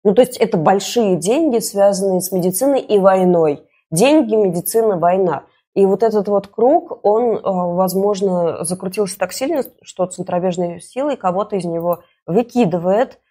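A woman's voice: mid-range voice, moderate pace, hardly any pauses, dark tone, breathy edge. A woman co-hosting a talk show, giving news commentary and casual banter in a wry, ironic way. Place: studio.

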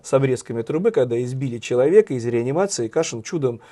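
A man with a hoarse voice co-hosting a satirical news chat, talking in a sardonic, ironic way. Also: mid-range voice, lively intonation, fast, warm tone. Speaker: co-host, journalist.

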